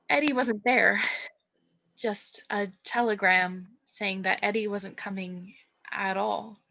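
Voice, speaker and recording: A woman's voice: mid-range voice, sharp tone, muffled recording. A woman playing a modest, earnest, stage-struck young woman in a radio play. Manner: modest, hesitant, polite.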